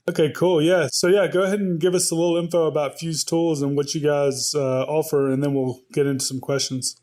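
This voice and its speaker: A man's voice: a little high, steady tone, brisk, darkish tone. A man hosting a podcast in a conversational style, asking questions in an easygoing, informal way. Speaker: podcast host, interviewer.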